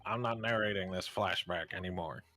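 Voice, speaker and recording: A man's voice: sits low, fairly quick, sharp tone, soft background music. A man chatting and joking casually as a player in a group game stream.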